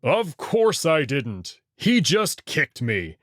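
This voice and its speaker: A man voicing a massive male alien wolf in a visual novel, expectant and easily exasperated, with a halting, coaxing manner. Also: a little high, wildly swooping pitch, no room sound, studio quality, full character voice. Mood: frustrated.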